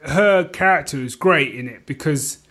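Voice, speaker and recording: Animated, slightly high-voiced man chatting. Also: moderate pace. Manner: animated retelling, slangy, peppered with "you know what I mean". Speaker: podcast co-host. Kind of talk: casual chat about TV shows.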